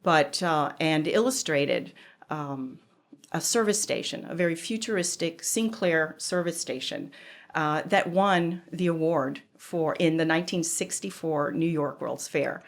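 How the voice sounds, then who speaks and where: A woman around seventy, a furniture designer, recalling her life in a reflective, measured conversational way. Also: low voice. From Cuba, raised in Georgia. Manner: reflective storyteller, measured with small pauses. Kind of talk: conversational interview.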